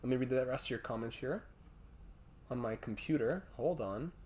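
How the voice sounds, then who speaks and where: Man, Montreal accent, medium pitch, casual livestream commentary, halting thinking-aloud delivery. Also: darkish tone. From Montreal, Canada. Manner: thinking aloud, halting, breaking off to reply to chat. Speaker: livestreamer hosting a hockey video game stream.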